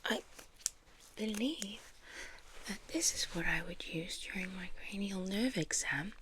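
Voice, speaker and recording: A South African woman speaking in a soft, breathy whisper as an ASMR host playing a medical examiner, gentle and playfully tongue-in-cheek. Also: medium pitch, a little slow. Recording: clearly audible background noise, clicks.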